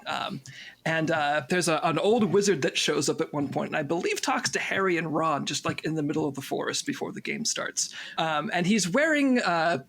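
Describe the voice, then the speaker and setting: A man's voice: a little high, gravelly, fairly bright tone, slight background noise. A man chatting casually and jokingly as a voice actor on a cast panel. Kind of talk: casual chat.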